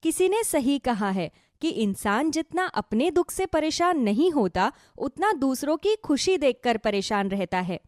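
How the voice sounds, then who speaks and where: Woman storyteller reading a kids fable, highish voice, super expressive pitch, dry sound. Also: measured pace, few pauses, no echo. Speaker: storyteller, narrator. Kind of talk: scripted Hindi children's story narration.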